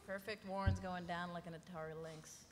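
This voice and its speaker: A woman's voice: on the low side, steady tone, brisk, close to an everyday voice. A young woman playing a wry, articulate young artist with a dry, teasing manner at a live table read. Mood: supportive. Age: young adult. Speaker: actress. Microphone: table microphone. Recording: echoey convention hall, faint noise.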